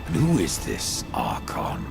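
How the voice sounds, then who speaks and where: A grim, sardonic horseman of the apocalypse for a fantasy game. His voice is extremely low and full of gravel. The lines come out terse, dry and brooding. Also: huge pitch swings, unhurried, over music, exaggerated voice. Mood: grim, questioning. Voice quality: very deep, gravelly. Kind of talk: dramatic video game voice acting.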